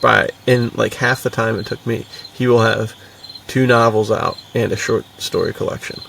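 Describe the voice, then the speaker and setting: A man chatting casually and upbeat as a podcast co-host, in relaxed slang. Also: lowish voice, normal speed, gravelly, distant mic, slight background noise. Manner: laid-back slang, laughs easily.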